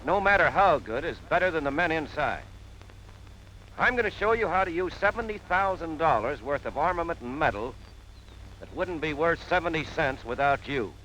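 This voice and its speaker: Lively American man narrating 1940s training film. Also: a little high. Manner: plain-spoken, punchy, colloquial instructor delivery. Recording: noisy 1940s film soundtrack.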